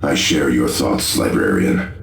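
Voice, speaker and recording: A man playing a dutiful armored tech-priest warrior in a video game cutscene, reporting in a formal, measured, impassive way. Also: extremely low pitch, creaky, a little hiss or hum, heavily acted. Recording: echoing, over faint music.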